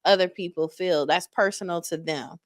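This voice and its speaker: A woman's voice: fairly low pitch, no room sound. Encouraging woman podcast host, dry sound. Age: 40s. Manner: encouraging, talks in quick bursts with repeated starts. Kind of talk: casual interview chat.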